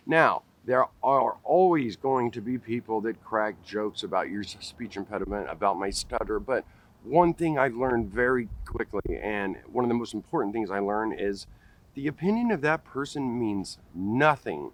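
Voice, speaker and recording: A man's voice: lowish voice, lively intonation, normal speed, poor audio. A man in his forties with a stutter, talking straight to camera outdoors in a park, repeating first sounds and restarting words. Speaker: vlogger. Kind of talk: direct-to-camera talk. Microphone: camera close up at face level.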